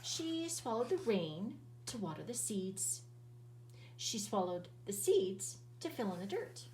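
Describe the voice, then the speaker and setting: A woman's voice: mid-range voice, a little hiss or hum. Woman teacher, children's read-aloud, bouncy sing-song voice, roomy echo, a bit far from the mic. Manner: lively, sing-song storytelling with drawn-out words.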